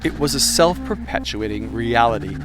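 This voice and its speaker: A man's voice: mid-range voice, animated, normal speed. Wry male audiobook narrator over music. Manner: wry, irreverent, theatrical emphasis. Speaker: author reading own audiobook.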